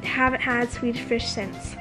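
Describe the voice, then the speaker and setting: A woman's voice: fairly high pitch, steady tone, a little slow, over music, rough recording. A teenage young woman, slightly congested, casually telling stories with emphatic repetition while chatting on a couch. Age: teens. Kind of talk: casual chat, storytelling. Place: living room couch, indoors. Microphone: compact camera mic at about arm's length.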